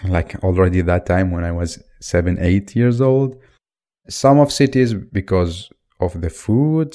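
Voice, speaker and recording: Low, dark-voiced young podcast host. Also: male voice. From Iraq. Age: 20s. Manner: unscripted, thinking aloud with fillers and restarts. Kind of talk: solo podcast monologue.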